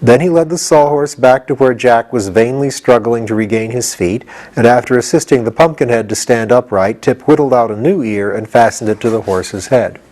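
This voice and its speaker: A man's voice: mid-range voice, moderate pace, a little mellow, poor audio. A man reading a children's story as scripted audiobook narration. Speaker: audiobook reader.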